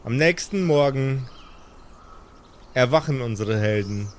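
A man's voice: mid-range voice, lively intonation, unhurried, a little hiss or hum. A man narrating an improvised audio drama as game master in a dramatic storytelling style.

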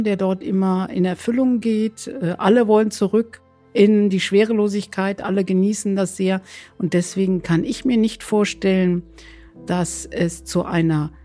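A woman speaking as an expert flight physician in an interview, explaining haltingly and repeating words for emphasis. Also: medium pitch, dark tone.

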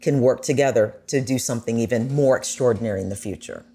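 A woman's voice: rumbling low, quick pace, warm tone. A woman guest on a podcast reflecting conversationally in an earnest, encouraging way.

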